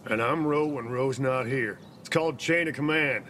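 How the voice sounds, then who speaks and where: An actor playing a gruff, bossy military lieutenant in a video game, curt and commanding. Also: male voice, mid-range voice, normal speed, a bit bright, slight background noise, close to an everyday voice. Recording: game music behind.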